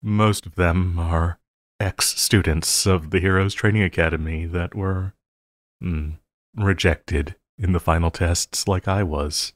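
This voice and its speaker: A man playing an obsessive, lovesick supervillain in an audio roleplay, needy and melodramatic, with halting, stammering delivery. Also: low voice, steady tone, no room sound, studio quality. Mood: calmer, amused. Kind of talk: intimate audio roleplay acting.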